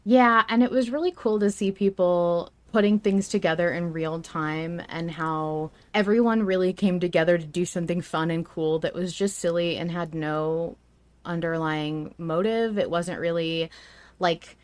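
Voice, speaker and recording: Casual, lower-pitched woman podcast host. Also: steady tone, moderate pace, darkish tone, no echo. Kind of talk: casual chat with guests.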